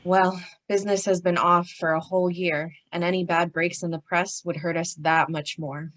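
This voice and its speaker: A lowish voice from an actress plays a worried male executive in a 1940s radio drama. The delivery is formal and proper, with a flustered, anxious edge. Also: flat delivery, normal speed, fairly bright tone, lightly acted. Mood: worried, secretive. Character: worried, publicity-conscious male business executive. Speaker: actor in an old-time radio detective play.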